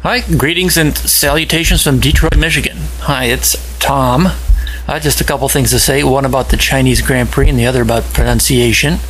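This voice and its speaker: A man whose first language is Polish leaves a friendly, casual voice message for a podcast and pauses often with fillers. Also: mid-range voice, steady tone, soft background music, low-quality recording. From Poland. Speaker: listener leaving a voicemail.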